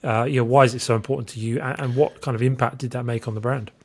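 A man with a mid-range voice hosts a conversational interview. He is encouraging and casual, feeling his way into questions with halting, restarted phrases. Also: medium pitch, steady tone, breathy edge. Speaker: podcast host, interviewer.

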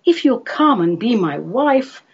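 A woman voicing an earnest, lovelorn little male creature in a comic poetry recital, theatrical and pleading, with drawn-out phrases. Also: a little high, very animated, slightly rough, heavily acted. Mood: pleading, lovestruck. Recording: dull lo-fi recording.

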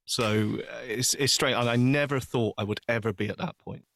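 A man with a medium-pitched voice speaks in a very dry recording. He is a podcast co-host in personal, informal conversation, thinking aloud in halting phrases and repeating words. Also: fairly bright tone, dry recording. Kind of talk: casual personal chat.

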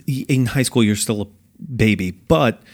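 A man's voice: lowish voice, moderate pace. A male podcast host runs a casual interview with his guest. He jokes and teases his way through the talk in playful banter. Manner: joking, teasing, playful banter.

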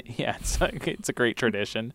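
A woman's voice: a bass voice, brisk, dry recording. A woman co-hosting a podcast, chatting casually in a playful, jokey, exclamatory way. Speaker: podcast co-host. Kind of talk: casual chat.

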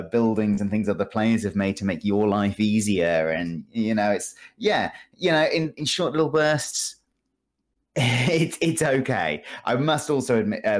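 Low-pitched man, wryly chatting about games. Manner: wry, sardonic, jokey. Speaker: podcast guest discussing video games. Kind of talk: casual podcast chat.

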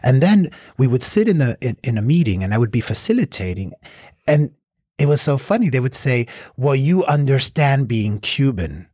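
A man's voice: medium pitch, sing-song, brisk, warm tone, slightly rough, dry recording, studio quality. A middle-aged man from the United States, thoughtfully reflecting in an interview. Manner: thoughtful, reflective, with small restarts. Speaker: cross-cultural mediator. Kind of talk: interview answers.

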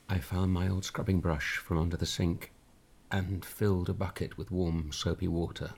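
A man with a British accent playing a weary, exasperated husband in a dramatic monologue, with a husky, gravelly voice. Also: sits low, a little mellow, a little hiss or hum, exaggerated voice. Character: weary, exasperated, grieving husband telling his tragic story. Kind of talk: dramatic first-person monologue.

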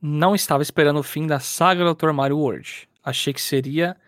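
A man's voice: on the high side, fairly even intonation, brisk, fairly bright tone, dry recording. Laid-back man, dry close sound. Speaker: podcast co-host reading comments. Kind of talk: casual chat, reading listener comments.

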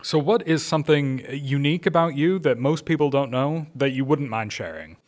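A man hosting a local interview podcast, asking relaxed, informal questions. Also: mid-range voice, moderate pace. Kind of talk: casual interview.

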